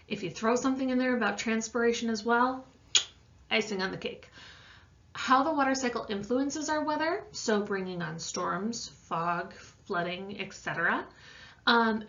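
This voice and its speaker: A woman's voice: on the high side, normal speed, fairly bright tone, muffled recording. A woman science teacher explaining an assignment in a casual, conversational lesson voice-over with informal asides.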